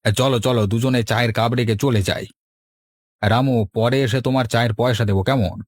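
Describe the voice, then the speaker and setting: A friendly village man in a cartoon, voiced by a male actor, with a middle-pitched voice and a dry recording. He talks in a folksy, avuncular, coaxing manner. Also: fairly even intonation, moderate pace, no room sound, lightly acted. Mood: eager. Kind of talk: animated cartoon dialogue.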